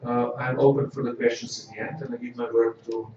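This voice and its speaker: A man from Slovenia giving a corporate results presentation, measured and full of filler "uh"s. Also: mid-range voice, level tone, darkish tone. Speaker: company executive presenting results. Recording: echoey hall, distant dull mic, faint noise.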